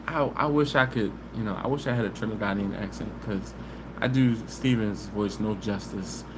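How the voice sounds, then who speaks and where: A man, hosting a casual live stream from home on his phone and chatting easygoing with viewers. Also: lowish voice. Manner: easygoing and chatty, with drawn-out "mm-mm" hums. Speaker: barbecue channel host. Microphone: phone camera. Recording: live stream audio with background hiss.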